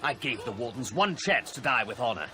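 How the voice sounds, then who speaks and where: An actor playing a cold, contemptuous male commander who speaks in measured tones. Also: mid-range voice, sing-song, lightly acted. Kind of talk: video game cut-scene acting. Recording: noisy game audio.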